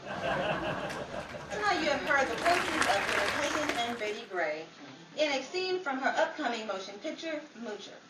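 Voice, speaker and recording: A woman announcing radio show credits, somewhat halting as she reads out names. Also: high voice, sing-song. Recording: echoey room, distant mic, crowd and noise.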